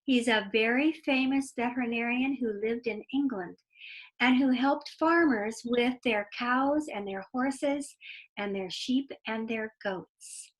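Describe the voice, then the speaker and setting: A woman reading a children's story aloud in a deliberate, explanatory way, with asides to young listeners. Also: on the high side, poor audio.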